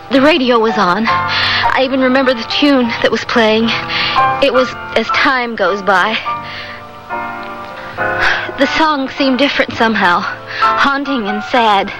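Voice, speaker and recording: A woman's voice: fairly high pitch, natural voice, poor audio. An actress playing a young married woman haunted by nightmares, speaking anxiously and dramatically in a radio play. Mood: terrified. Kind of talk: 1970s-style radio drama acting. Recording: vintage radio drama with prominent music.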